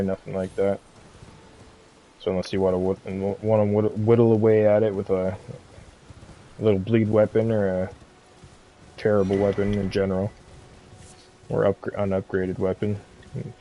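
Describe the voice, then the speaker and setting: Laid-back, flat-toned man, slightly low. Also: moderate pace, pauses often, muffled recording, a little hiss or hum. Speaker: game streamer giving a walkthrough. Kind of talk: casual gameplay commentary.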